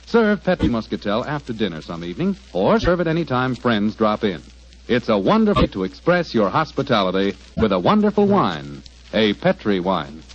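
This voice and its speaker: American guy doing old time radio announcer pitch, lively swoopy delivery, muffled recording, music behind. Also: normal speed. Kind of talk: 1940s radio advertising and announcing.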